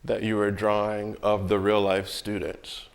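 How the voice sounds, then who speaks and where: A man's voice: on the low side, completely flat delivery, unhurried, gravelly. A man interviewing someone in a casual, conversational way, often chiming in with affirmations and repeating his words.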